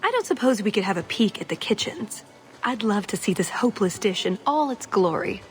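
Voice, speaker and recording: A woman's voice: mid-range voice, animated, a little fast, natural voice, poor audio. An actress playing a young elf thief in a video game, wry, sarcastic and restless in her delivery. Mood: playful, indignant.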